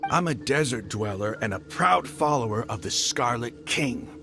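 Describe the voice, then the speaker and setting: A smug, gravelly mercenary chief, lively swings in pitch, game cutscene with music under it. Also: male voice, normal speed. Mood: proud. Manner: scornful, mocking. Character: arrogant desert mercenary leader, zealous.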